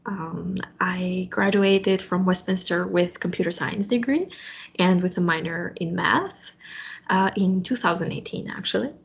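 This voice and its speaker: A young woman from Albania introduces herself on a video call. Her voice is low and gravelly with a husky edge. She speaks softly, pausing on "uh". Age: 20s. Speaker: alumni panelist.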